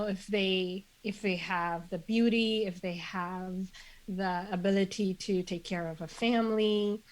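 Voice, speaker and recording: A woman guest with a congested voice, speaking earnestly and in measured phrases, with many fillers. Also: mid-range voice, poor audio. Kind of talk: reflective conversational interview.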